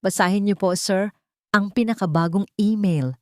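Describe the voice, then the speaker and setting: A woman's voice: mid-range voice, normal speed, a little mellow, no room sound, studio quality, pitched above the performer's own voice, natural voice. Woman acting a trembling, determined young housemaid. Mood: firm. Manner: respectful, determined. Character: brave young housemaid, tearfully pleading yet determined.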